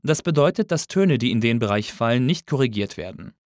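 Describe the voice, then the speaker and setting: A man narrating a scripted tutorial as an instructor, in a measured, explanatory way. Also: mid-range voice, expressive, no room sound, studio quality.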